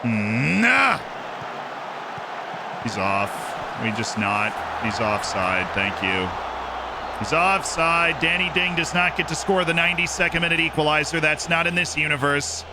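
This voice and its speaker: A man streaming a football management game, commenting excitedly on matches and chatting directly with his viewers. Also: a little high, a little slow, a loud crowd, very noisy. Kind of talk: casual live-stream commentary to chat.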